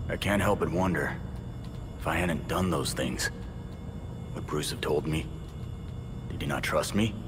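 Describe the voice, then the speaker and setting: An actor playing a hot-headed young male vigilante in a video game, gruff, sarcastic and impatient. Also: a bass voice, unhurried, long pauses, music in the background. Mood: troubled. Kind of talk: dramatic video game acting.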